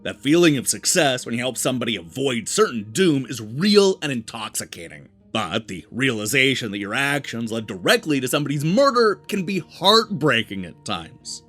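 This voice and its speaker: A man's voice: mid-range voice, a bit bright. A man reading a scripted video game review as narration, with an emphatic, polished presenter's delivery. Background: background music.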